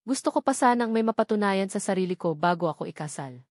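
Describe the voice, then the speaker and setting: A woman's voice: mid-range voice, animated, quick pace, no echo, studio quality. A woman reading a romance novel aloud as a scripted first-person narration. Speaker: audiobook narrator.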